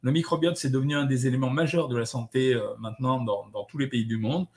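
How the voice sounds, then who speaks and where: A man's voice: mid-range voice, fast talker. A middle-aged man, a doctor answering viewer questions in a casual, chatty way, with many fillers. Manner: relaxed, chatty, lots of "euh" and "hein". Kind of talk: casual live Q&A, reading out comments.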